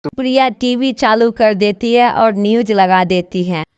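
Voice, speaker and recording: A woman's voice: mid-range voice, a little slow, clear, resonant tone, no echo. A woman reading scripted narration for a children's moral story.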